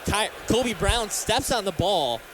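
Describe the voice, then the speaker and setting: A man's voice: high voice, lively intonation, slow pace, background noise. A young American man calling a game as a radio play-by-play announcer, live from an arena. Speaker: sports play-by-play announcer and reporter. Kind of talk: live radio sports commentary.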